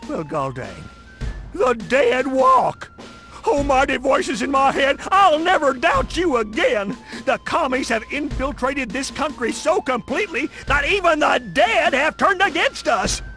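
An actor playing a paranoid, bombastic militia fanatic in a video game, ranting theatrically. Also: male voice, extremely high pitch, very animated, measured pace, pushed and bright, faint music behind, full character voice. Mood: fanatical, defiant.

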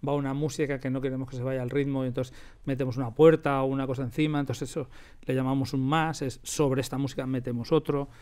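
A man's voice: mid-range voice, level tone, quick pace, darkish tone, clean tone. A man with an accent from Spain chatting casually in an interview as a director, informal and emphatic, often repeating himself. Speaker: radio drama director and sound mixer. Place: radio drama studio.

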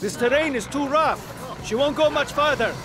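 A man's voice: extremely high pitch, normal speed, over a noisy crowd. A man playing a formal-spoken desert driver and guide in a radio drama. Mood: urgent, hearty. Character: local desert driver, hearty and urgent guide. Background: music, outdoor vehicle sounds.

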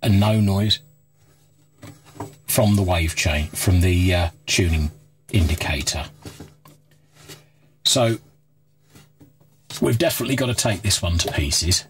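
A man's voice: low voice, takes it slowly, pauses often. A middle-aged man giving informal, candid hands-on commentary as he presents a repair video. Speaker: host of a radio repair video. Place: small cluttered workshop, indoors.

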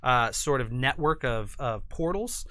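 A man in his forties giving a casual product preview as a guest, thinking aloud haltingly and correcting himself. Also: mid-range voice, animated, pushed and bright, dry recording, clean studio sound. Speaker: publisher and chief creative officer, guest. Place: indoor studio set with couches and curtain.

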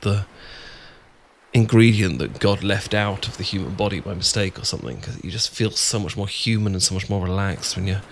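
A man's voice: sits low, a little fast. A British man playing an adorkable, bashful young friend in an ASMR boyfriend roleplay, hesitant, stammering and rambling. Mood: relaxed, chatty. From Britain. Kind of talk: ASMR boyfriend roleplay, improvised-sounding casual chat. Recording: clear background noise with ambience.

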